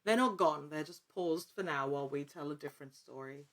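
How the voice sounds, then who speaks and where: Lower-pitched woman in casual interview. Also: fairly quick. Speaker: podcast guest, actor and actual-play storyteller.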